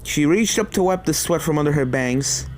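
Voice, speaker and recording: High-pitched man narrating dramatic fiction. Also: lively intonation, normal speed. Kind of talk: dramatic storytelling of horror fan fiction.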